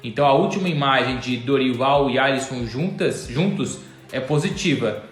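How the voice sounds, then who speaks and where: A man's voice: mid-range voice, animated, normal speed, reverberant. A man giving football commentary as a pundit on a talk show. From Portugal.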